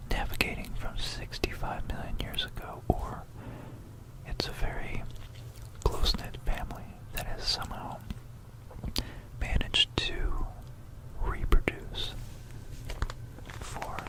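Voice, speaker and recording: An ASMR host narrating an educational piece in a hushed, breathy, unvoiced whisper, unhurried and conspiratorial, close to a stereo microphone. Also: low-quality recording. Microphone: close stereo binaural microphone.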